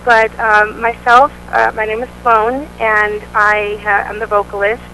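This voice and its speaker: A woman's voice: fairly high pitch, little pitch movement, measured pace, bright, forceful tone, a little hiss or hum, rough recording. A woman, the singer of a band, answering interview questions in an upbeat, chatty way with many fillers. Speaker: band vocalist, interview guest.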